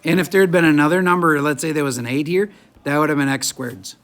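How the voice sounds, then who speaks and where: A man's voice: on the high side, fast, a bit bright. A male math teacher lecturing a classroom in a chatty, joking way while losing his voice. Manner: chatty, jokey, moves around the room.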